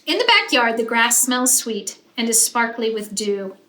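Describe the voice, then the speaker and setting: A woman with a high, bright voice narrates a children's picture book. She reads expressively, leaning on key words as a teacher would. The room is echoey, with faint noise. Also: big pitch swings, a little slow. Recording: roomy echo, faint background noise.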